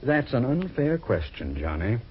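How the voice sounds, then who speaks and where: An actor playing a droll, long-suffering waterfront priest in a 1940s radio drama, with a theatrical, complaining manner. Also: male voice, mid-range voice, very animated, unhurried, a little hiss or hum. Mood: wryly droll. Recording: muffled old radio recording.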